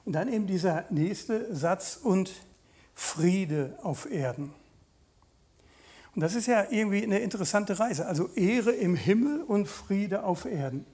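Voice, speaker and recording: A man in his late fifties preaching a conversational sermon, pausing mid-sentence and putting questions directly to his listeners. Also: sits high, lively intonation, a little mellow.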